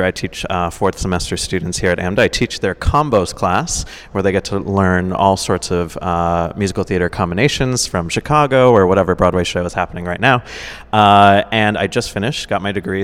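A man's voice: sits low, fairly bright tone, smooth. A man in his twenties, a musical theater teacher, talking easily as a guest with many "uh" fillers. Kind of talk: casual interview chat. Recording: reverberant hall.

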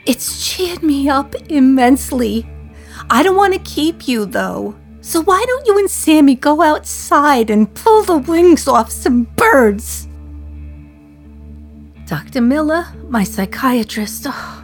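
A woman's voice: high-pitched, sing-song, slow, faint music behind, heavily acted. An actress in her thirties playing a condemned woman in prison, reflecting in a wry, sardonic radio-play monologue. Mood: reflective.

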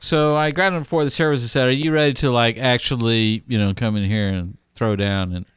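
A man's voice: medium pitch, brisk, clean tone, no echo. A man co-hosting a podcast, telling stories in a casual, jokey, rambling way. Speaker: podcast co-host. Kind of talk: casual comedic storytelling chat.

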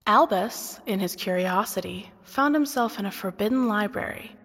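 A woman narrator tells a dramatic tale in a husky voice. Her pitch is average, and a long reverb trails her words. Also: moderate pace, fairly bright tone. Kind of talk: dramatic scripted storytelling narration. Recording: long reverberant hall effect.

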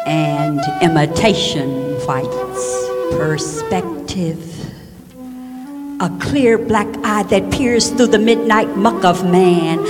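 A woman reciting spoken-word poetry in a deliberate, declamatory way on an archival album recording. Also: deep voice. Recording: archival album with long reverb. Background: loud music.

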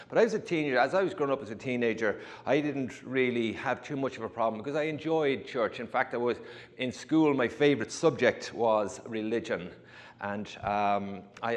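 Irish man, 50s, mid-range voice, chatty testimony with jokes, reverberant church room. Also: medium pitch. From Ireland. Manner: chatty storytelling with humorous asides and frequent restarts. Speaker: guest giving a testimony. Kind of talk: personal testimony told to a congregation. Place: church sanctuary.

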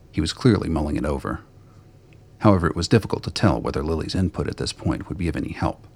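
A man narrates a radio play in a scripted storytelling style. His voice is extremely low, with a gravelly creak and a husky, rough grain.

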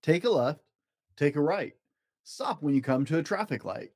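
A man's voice: fairly high pitch, expressive, moderate pace, darkish tone. A man voicing a cheerful, careful storybook toad who loves driving, in a rhythmic sing-song. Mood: cheerful, eager. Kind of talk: children's storybook read-aloud.

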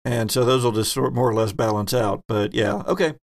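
A man with a gravelly, creaky voice runs a tabletop game, calmly weighing the rules and handing out rulings. His mid-pitched voice sounds close and dry. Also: dry recording. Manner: measured, explains rules and rulings. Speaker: game master.

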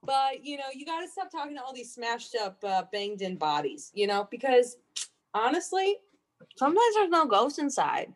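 A woman with a high voice improvises comedy scenes. She rambles along in a casual, slangy manner, like someone making it up as she goes. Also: big pitch swings, moderate pace. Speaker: improv comedy performer on a podcast.

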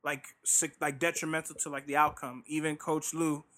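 A man co-hosting a podcast in casual conversation, loud and animated, full of slang. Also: fairly high pitch, moderate pace. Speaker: podcast co-host.

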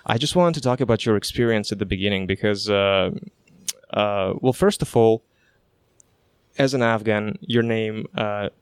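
A man's voice: fairly low pitch, moderate pace, clear, resonant tone, dry recording. A man originally from Kazakhstan hosting a podcast interview outdoors, in friendly, chatty conversation with plenty of fillers. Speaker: podcast host. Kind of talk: casual interview chat. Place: outdoors outside a studio.

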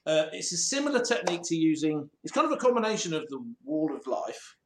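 High, animated jokey man. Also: fast. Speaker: podcast co-host. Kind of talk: casual banter. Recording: echoey room.

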